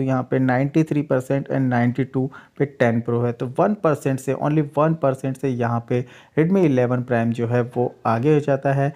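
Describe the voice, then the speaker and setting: A man's voice: mid-range voice, mellow. A man, the host of a tech channel, steadily narrating a side-by-side phone speed test and addressing viewers as friends.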